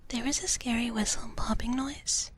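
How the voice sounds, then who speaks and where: A woman whispering softly and breathily in a gentle, cutesy ASMR live stream, chatting casually to viewers and softly repeating her phrases. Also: a little high, normal speed. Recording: clear steady background noise.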